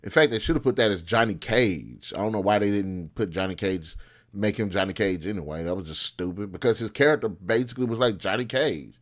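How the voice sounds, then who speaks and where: A man with a fairly low voice hosts a casual commentary video. He speaks informally and bluntly, giving his opinions in loose, slangy language. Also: a little fast. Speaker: video host.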